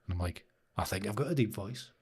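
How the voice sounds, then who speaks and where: A man's voice: lowish voice, quick pace, gravelly, a little mellow, slightly rough. A man hosting a live stream, chatting casually and rambling while he edits photos, often laughing and answering comments from chat. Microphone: new studio microphone.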